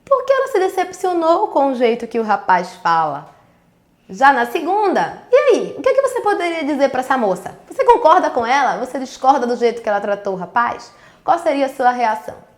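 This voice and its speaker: A woman teacher with a Northeastern Brazilian accent explains earnestly and speaks directly to her pupils. Her voice sits a little high, and the recording has a roomy echo. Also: big pitch swings, a little fast. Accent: Northeastern Brazilian, Pernambuco. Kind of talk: recorded school video lesson. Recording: echoey room.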